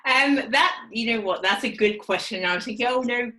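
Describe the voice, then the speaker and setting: A woman's voice: fairly high pitch, a little fast, bright, forceful tone. A woman panellist speaking frankly and reflectively on a video-call panel, repeating her key points. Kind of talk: panel discussion.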